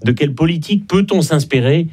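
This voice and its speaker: Husky Belgian man explaining in an interview. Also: on the high side, expressive, fairly quick, darkish tone. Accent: Belgian French. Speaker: guest author and commentator on energy. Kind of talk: radio interview, explanatory commentary.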